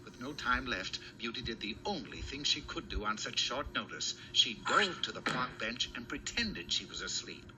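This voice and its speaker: A man's voice: medium pitch, very animated, normal speed, hardly any pauses, close to an everyday voice. A man playing a wry, mock-dramatic narrator of a cartoon fairy tale, scripted and theatrical. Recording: noisy old cartoon soundtrack.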